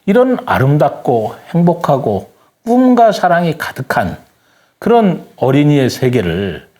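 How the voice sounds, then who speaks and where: An older man speaking as an interview guest, giving his opinions earnestly in a deliberate, formal and polite way. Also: on the high side, wildly swooping pitch, mellow.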